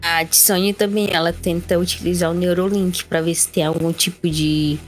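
A man's voice: sits high, flat delivery, normal speed, faint music behind. A man with a Brazilian accent, a player describing actions in a tabletop game.

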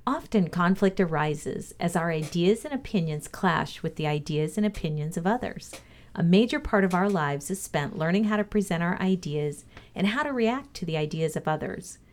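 Lower-pitched woman reading a motivational lesson. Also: animated, normal speed. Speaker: co-host reading a values lesson. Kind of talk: read-aloud motivational lesson.

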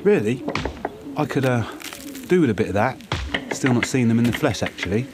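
A man playing a dithering, amiable magpie in an audio drama, with a halting, bumbling way of talking. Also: medium pitch, expressive, music in the background, lightly acted. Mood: flustered.

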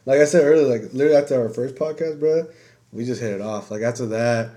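A man's voice: mid-range voice, fast, warm tone. A young man talking casually on a podcast, easygoing and full of fillers like "bro" and "man". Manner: laid-back, lots of fillers and "bro", "man". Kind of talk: casual chat.

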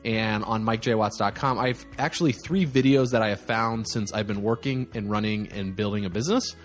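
A man's voice: on the low side, fairly quick, soft background music. A man in his thirties hosting his own podcast, talking casually and rambling with lots of fillers and self-deprecating jokes, tired from little sleep. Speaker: podcast host. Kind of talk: casual solo podcast monologue.

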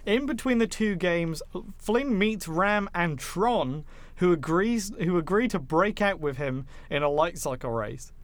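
A man's voice: high-pitched, lively intonation, unhurried, hardly any pauses, no echo. A man hosting a podcast, recapping a film plot in a casual, jokey and informal way. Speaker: podcast host.

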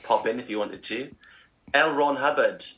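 Bright-toned man telling stories. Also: medium pitch, normal speed, muffled recording, slight background noise. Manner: chatty, agreeable, laughs while telling stories. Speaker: co-host. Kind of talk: casual podcast chat.

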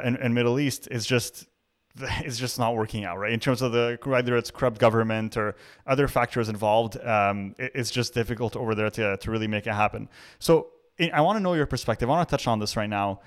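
A man's voice: medium pitch, a little fast. A man born in Canada hosting an interview, talking thoughtfully and building long questions around analogies. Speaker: interview host. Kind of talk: conversational interview.

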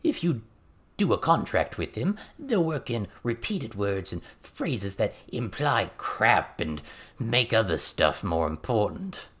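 Crude little goblin tutor for an audiobook, male narrator acting, excitable, theatrical, swinging widely in pitch. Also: slow, husky, full character voice. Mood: halting, explaining.

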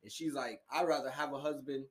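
A man's voice: medium pitch, fairly even intonation, fairly quick. A man co-hosting a casual podcast about relationships, talking in a laid-back way and often saying "you know what I'm saying". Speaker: podcast co-host.

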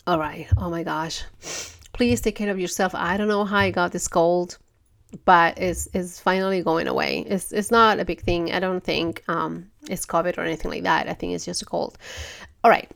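A woman hosting a podcast, talking earnestly and gently in a casual monologue, her voice stuffy from a cold. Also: fairly low pitch, steady tone, moderate pace, creaky. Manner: earnest, gentle, restarts often. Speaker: podcast host.

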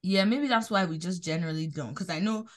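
Animated young Nigerian woman, lowish voice. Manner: animated, quick swings in loudness and pitch. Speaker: podcast co-host. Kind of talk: casual chat.

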